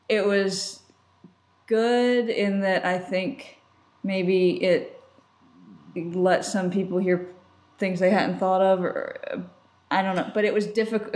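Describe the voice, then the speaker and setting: A woman's voice: mid-range voice, a little mellow. A woman co-hosting a podcast, chatting casually and informally. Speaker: podcast co-host. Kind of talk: casual conversational podcast chat.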